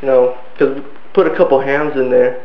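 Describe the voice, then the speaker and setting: A man's voice: medium pitch, steady tone, a little fast, heavy reverb, muffled recording. A young man from Vietnam whose first language is Vietnamese, rambling with lots of fillers in a joking home-video interview. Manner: rambling, full of "you know" fillers.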